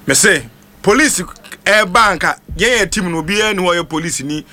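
A Ghanaian man, a native Twi speaker, telling stories casually and excitedly, repeating phrases for emphasis. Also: high voice, brisk. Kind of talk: animated casual storytelling.

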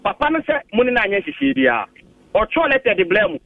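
A Ghanaian caller argues his case on a radio phone-in, repeating himself and stumbling through the point. His voice is very high and lively, squeezed through a dry, tinny phone line from some distance. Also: dry recording. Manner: argumentative, halting, repeats himself, mixes Twi and English. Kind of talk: heated opinion on a radio call-in.

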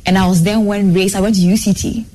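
A young woman guest sharing her own story on a talk show, earnest and animated, her phrases tumbling out with a lot of "like". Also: mid-range voice, fast talker.

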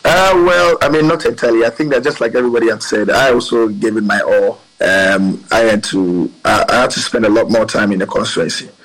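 A man's voice: lowish voice, brisk, hardly any pauses, a bit bright, poor audio. A man, a politician answering interview questions in an earnest, rambling way with many fillers. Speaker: politician guest.

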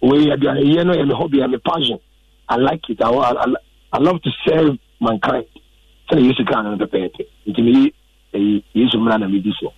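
A Ghanaian man answers in a live radio interview, earnest and a little halting. His voice is husky and mid-pitched, and the sound is muffled and dry. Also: dry recording. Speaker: restaurant owner guest.

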